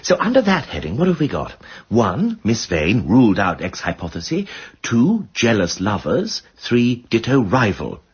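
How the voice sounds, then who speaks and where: An actor playing a witty, voluble aristocratic amateur detective in a radio drama, with an upper-class English accent and flippant period slang. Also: male voice, fairly low pitch, huge pitch swings, normal speed, husky, dull audio, full character voice. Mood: musing, breezy.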